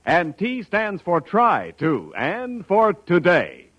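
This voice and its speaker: A man's voice: high voice, huge pitch swings, takes it slowly, soft background music. A male commercial announcer reading scripted 1940s radio advertising in an emphatic, polished manner. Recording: dull 1940s radio recording.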